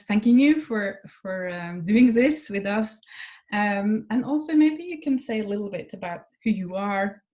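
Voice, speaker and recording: A woman professor hosting a public conversation, asking questions gently and hesitantly with many fillers. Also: mid-range voice. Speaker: professor and interviewer.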